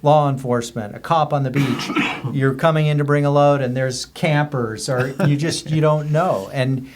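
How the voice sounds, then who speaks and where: A man's voice: mid-range voice, steady tone, normal speed. American man telling rambling anecdotes. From US border region. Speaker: author guest on a podcast. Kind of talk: casual anecdotal storytelling.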